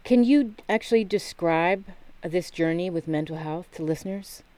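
A woman's voice: lowish voice, normal speed, dark tone, no echo. A woman hosting a radio show, interviewing a guest in an encouraging way.

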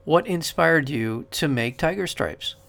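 A man hosting a radio interview show, asking long, earnest questions in a measured way with some restarts. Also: a little high, expressive, creaky, a bit bright.

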